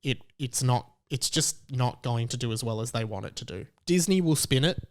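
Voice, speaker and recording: A man's voice: medium pitch, lively intonation, moderate pace. A man hosting a solo podcast, giving conversational commentary in an emphatic, self-assured, opinionated way. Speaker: podcast host.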